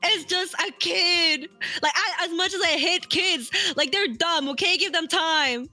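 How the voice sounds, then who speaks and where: A woman's voice: extremely high pitch, measured pace, bright, forceful tone, faint music behind. A woman in casual stream chat, rambling with many restarts and giving blunt opinions. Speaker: streamer co-host.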